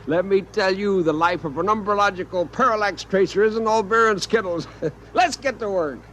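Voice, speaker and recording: An actor playing an amused, skeptical accomplice in a 1950s radio play. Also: male voice, sits high, normal speed, a bit bright. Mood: amused, game. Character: amused, skeptical accomplice who gamely goes along. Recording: noisy old radio broadcast.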